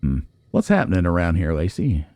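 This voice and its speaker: Male let's play host: low, dark tone, folksy, chatty, thinking aloud as he plays. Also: very animated, brisk, no room sound. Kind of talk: casual gameplay commentary.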